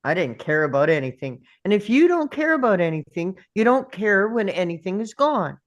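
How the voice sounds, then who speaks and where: A woman reading the part of a sardonic old uncle in a deadpan, grumbling manner at a script table read. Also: medium pitch, normal speed, close to an everyday voice. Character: gruff, sardonic old uncle, grudgingly fond of his nephew.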